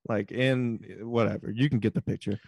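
A man's voice: mid-range voice, steady tone, moderate pace, creaky, darkish tone, breathy edge, dry recording. A man hosting a live comedy podcast on a video call, crude and wisecracking. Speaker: host. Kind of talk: casual comedy podcast banter.

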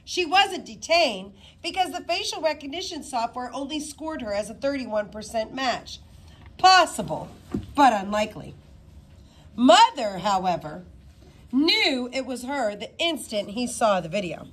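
A woman reads a novel aloud in a high voice. Her delivery is informal and expressive, with very lively swings in pitch. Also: unhurried. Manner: expressive, informal, stumbles over some words. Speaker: reader of a children's book.

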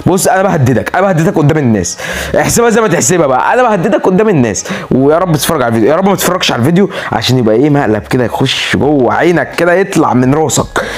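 A young man with an Egyptian accent chatters through a game account showcase at a rapid clip, his voice sitting a little high. He is tired from a sleepless night, yet he still bursts into excitable, dramatic exclamations and playful mock threats. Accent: Egyptian Arabic. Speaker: gaming YouTuber.

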